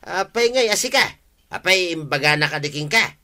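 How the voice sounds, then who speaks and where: A man's voice: high voice, animated, moderate pace, pushed and bright, full character voice. An actor playing a chatty, boastful village man in a radio comedy drama, with an Ilocano accent. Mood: indignant.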